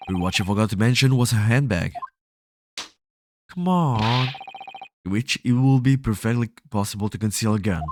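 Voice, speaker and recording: Low-voiced man reading game dialogue. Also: expressive, moderate pace, pauses often, noisy background. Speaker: streamer playing a game. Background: game music.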